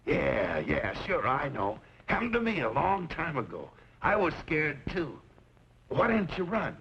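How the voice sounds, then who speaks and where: An actor playing a garrulous old rancher father in a western radio drama, folksy and rambling with quiet menace. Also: a little high, big pitch swings, creaky, heavily acted. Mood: wry, reflective. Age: older. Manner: folksy, rambling, drawn-out emphasis. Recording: muffled, noisy old radio recording.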